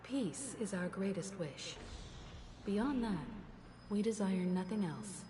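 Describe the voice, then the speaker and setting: A woman playing a polite, composed navigator in a video game, speaking in a measured, courteous and formal way. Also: mid-range voice, expressive, dark tone, a little hiss or hum. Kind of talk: video game character acting.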